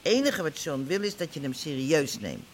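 An actress playing a middle-aged, worried and nagging gangster's wife in a Dutch radio drama. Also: female voice, deep voice, sing-song, moderate pace, slight background noise. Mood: reproachful. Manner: scolding, caring.

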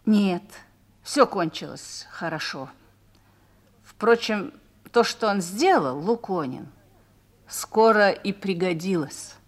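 A woman's voice: medium pitch, lively intonation, slow, long pauses. A woman acting in a radio play as an older officer's wife, reminiscing tenderly and wistfully. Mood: nostalgic. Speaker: actor in a radio drama.

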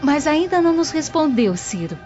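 High-voiced gentle noble maiden. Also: female voice, sing-song, normal speed, over music. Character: gentle, tender young Roman noblewoman. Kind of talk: radio drama acting. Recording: dull lo-fi radio drama recording.

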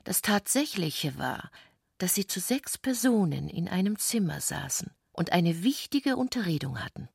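A woman's voice: lowish voice, big pitch swings, moderate pace, gravelly, darkish tone, breathy edge, dry recording, clean studio sound. A woman reading literary prose as a scripted narrator, precise and composed.

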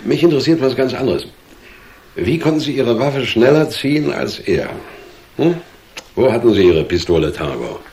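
An actor playing a dry, businesslike police detective in a German radio drama, questioning in a measured, insistent way. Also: male voice, medium pitch, sing-song, darkish tone, slight background noise. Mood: probing, dry.

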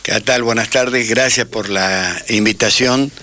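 A man's voice: fairly low pitch, a little slow, sharp tone. A man from northern Argentina with an Argentine accent, talking informally as an activist radio guest. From Tucumán, Argentina. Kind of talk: informal interview talk.

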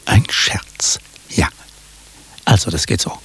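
An actor playing a shady, showy magician in a radio drama, pitching his magic cabinet with a salesman's patter. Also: slow, fairly bright tone, whispered, low-quality recording. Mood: showy salesmanship. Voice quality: husky, creaky. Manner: salesman's patter, swooping emphasis.

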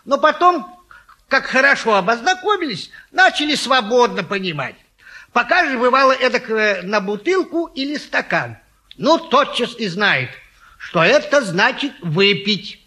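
A man's voice: very high voice, bright, forceful tone, heavily acted. An actor playing a boastful, gallant retired naval lieutenant who tells tall tales in a stage comedy, hemming and savoring as he goes. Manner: hesitant hemming, savoring storyteller. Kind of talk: classic stage comedy acting.

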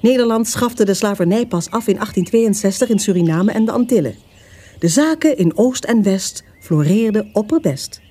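Mellow woman narrating a radio play. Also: medium pitch, expressive, slightly rough. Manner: measured, formal, storyteller phrasing.

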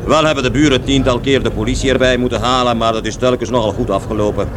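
A man's voice: steady tone, fast talker, close to an everyday voice. An actor playing a bitter grown working-class son in a radio drama, talkative and hesitant, repeating himself as he goes. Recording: vehicle noise under the voice. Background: loud rumble of a vehicle.

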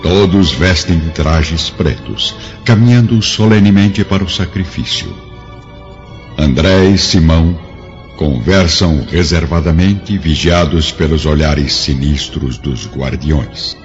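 A man's voice: a bass voice, slow. A man with a Brazilian accent narrating a historical radio serial in a solemn, theatrical way. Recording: dull lo-fi recording with music underneath.

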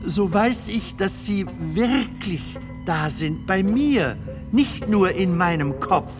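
A gentle comedian, slow and lilting. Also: male voice, high-pitched, animated. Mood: wondering, tender. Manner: halting, tender, questioning. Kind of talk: German radio drama acting. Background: music.

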